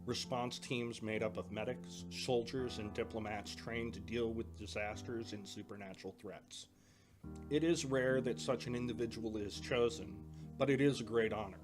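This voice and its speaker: A man playing an earnest older brother who trains recruits at a military academy, explaining things in a measured way in an audio drama. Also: lowish voice, steady tone, over music, lightly acted.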